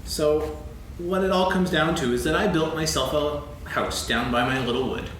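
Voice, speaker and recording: A man playing a gloomy, sarcastic, self-pitying old donkey in a staged reading, mournful and deadpan with halting delivery. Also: on the high side, close to an everyday voice. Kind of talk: staged reading of a children's story play. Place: on stage in a hall. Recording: very echoey hall, faint noise, archival recording.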